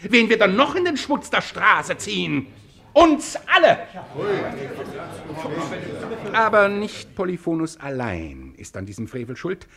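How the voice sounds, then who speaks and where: An actor playing a pompous, sanctimonious defence advocate in a radio play, orating floridly in with theatrical hushes and swells. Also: male voice, high-pitched, big pitch swings, moderate pace, creaky, a crowd in the background, heavily acted. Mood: outraged. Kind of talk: florid courtroom oratory in a German radio play.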